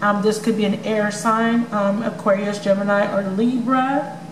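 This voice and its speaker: A woman's voice: mid-range voice, fairly even intonation, moderate pace. A woman giving a casual tarot love reading to her viewers, chatty and full of ums, with a voice that may crack from overuse. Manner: chatty, many ums, addresses viewers as you guys. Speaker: tarot reader. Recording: echoey room with noisy background.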